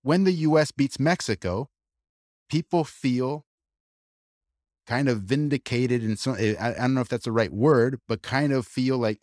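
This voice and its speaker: A man co-hosting a casual discussion, arguing his point in an animated way with quick asides and restarts. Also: medium pitch, no room sound, studio quality. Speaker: podcast co-host.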